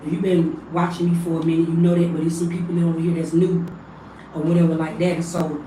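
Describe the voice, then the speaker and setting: A raspy, hoarse woman talks loosely to her livestream viewers and calls them family. She sits a little away from the mic in an echoey home room, with faint ambience behind her. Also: on the low side, flat delivery, a little fast, mellow, recorded from a distance, a little hiss or hum. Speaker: livestream spiritual reader. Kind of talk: casual livestream chat.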